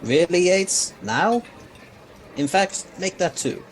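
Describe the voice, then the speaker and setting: An actor playing a wry, authoritative time-travelling scientist in an audio drama, speaking formally and precisely. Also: male voice, high-pitched, lively intonation, slow pace. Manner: formal, precise, slightly grand explanations. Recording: clear background noise on recording.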